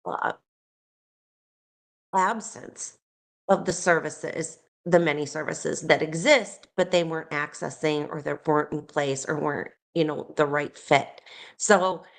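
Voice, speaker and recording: Woman, slightly low voice, earnest committee comment over a video call. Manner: earnest, repeats words as she thinks. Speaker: committee member.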